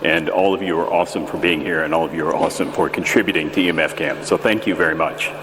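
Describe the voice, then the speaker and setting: A man in his fifties with an American accent, giving a relaxed conference talk with a big booming voice, many fillers and restarts. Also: fast talker, background noise. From United States. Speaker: conference presenter. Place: large echoey tent at a festival. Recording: reverberant venue with faint ambience.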